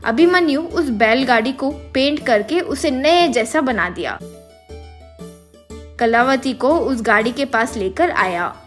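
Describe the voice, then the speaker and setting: A woman's voice: high-pitched, animated, takes it slowly. A woman reading a scripted story as its narrator. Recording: music behind the voice. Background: music.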